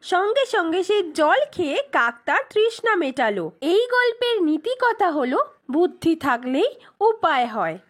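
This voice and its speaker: A woman with a strikingly high voice tells children's tales from a script. Her delivery is slow and deliberate, set out phrase by phrase. Music plays behind her. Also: fairly bright tone. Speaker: storyteller narrator.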